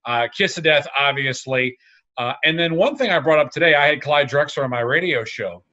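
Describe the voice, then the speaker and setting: A man in his forties, a sports radio announcer, telling animated anecdotes as a guest over a video call. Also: fairly high pitch, brisk. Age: 40s. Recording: dull, lo-fi video-call audio.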